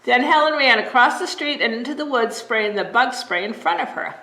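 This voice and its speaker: A woman librarian reading a bedtime story aloud in a gentle, expressive way. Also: on the high side, brisk. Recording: roomy echo.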